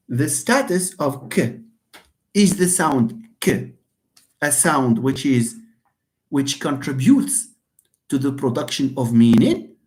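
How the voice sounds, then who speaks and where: Lecturer, live online class, animated pitch, emphatic, repeats phrases. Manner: emphatic, repeats phrases, addresses students directly. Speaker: university lecturer.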